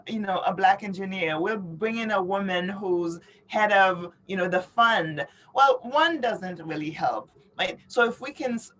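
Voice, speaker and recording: A woman's voice: medium pitch, rough recording. A middle-aged woman with a mid-range voice speaks as an interview guest. She explains her points earnestly and checks in often with the listener. She was born in Haiti and raised in New York City. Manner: earnest, explanatory, frequently checks with "you know" and "right?". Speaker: interview guest, author and advocate. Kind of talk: interview answers.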